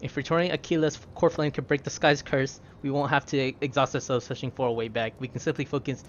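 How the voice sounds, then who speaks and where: A man's voice: medium pitch, fairly even intonation, quick pace, lightly acted. Man reading polite, cautious young game hero, formal careful delivery, faint noise behind, a little dull. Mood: earnest. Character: earnest, cautious, polite young male traveler. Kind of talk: reading video game dialogue aloud.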